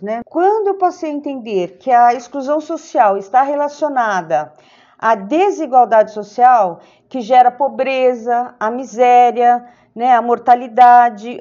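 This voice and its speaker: High-voiced woman in her sixties. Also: a little mellow. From São Paulo, Brazil. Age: 60s. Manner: earnest, with frequent "né?" tags and small restarts. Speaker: social worker. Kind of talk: interview testimony. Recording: dull lo-fi sound.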